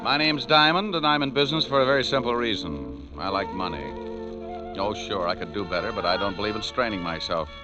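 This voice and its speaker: A man's voice: lowish voice, fairly quick, lightly acted. An American man playing a wisecracking, money-loving private detective in a breezy, sardonic 1940s radio noir style. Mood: wry. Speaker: lead actor and narrator. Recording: muffled old radio recording, music behind.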